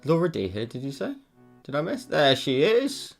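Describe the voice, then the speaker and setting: A man's voice: fairly high pitch, wildly swooping pitch, moderate pace, gravelly. A man chatting casually with viewers while he teaches a live digital drawing session. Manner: chatty, reads and answers viewer messages. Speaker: digital art streamer. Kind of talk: casual live-stream tutorial chat. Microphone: headphones on, desk mic. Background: faint background music.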